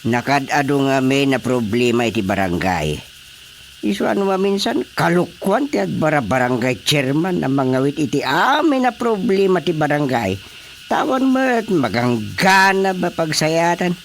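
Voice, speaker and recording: Older village boss, grumbling and bossy, slightly high voice, very lively swoops, radio comedy drama. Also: male voice, normal speed, slight background noise. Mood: grumbling, dismissive. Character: grumbling, weary older male village chairman.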